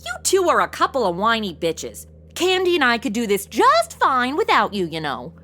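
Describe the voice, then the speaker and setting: A brash, irreverent young sidekick in a comic audio play, played by a woman. Her voice is sharp and fairly high, with sarcastic, very animated delivery. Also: normal speed, exaggerated voice. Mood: snarky. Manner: sarcastic, chatty. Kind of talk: comedic audio drama acting.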